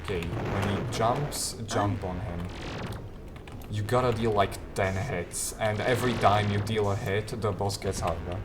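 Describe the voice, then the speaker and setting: Man, low voice, casual game guide commentary, low-key and hesitant, music playing behind. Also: a little slow. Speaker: gamer giving a guide.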